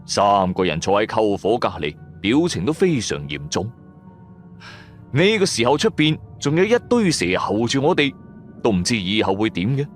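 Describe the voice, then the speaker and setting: A man's voice: on the low side, sing-song, normal speed. A man narrating a first-person horror story for radio in a suspenseful, colloquial manner. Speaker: audio drama narrator. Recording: music under the voice. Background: background music.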